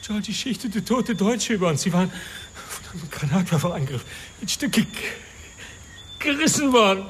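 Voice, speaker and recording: Haunted older rich father, very high strained voice, sharp and bright, halting stammer, swooping pitch, radio drama. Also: animated, slight background noise. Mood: anguished, halting. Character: wealthy older father haunted by war memories. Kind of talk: German radio drama acting.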